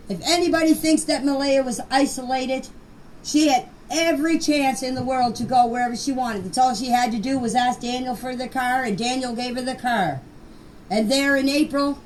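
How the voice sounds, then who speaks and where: An older woman in her sixties or beyond speaking plainly and bluntly in an unscripted personal voice message, with an emphatic manner. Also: high-pitched. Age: 60s or older. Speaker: grandmother giving her personal account. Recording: distant, noisy amateur voice clip.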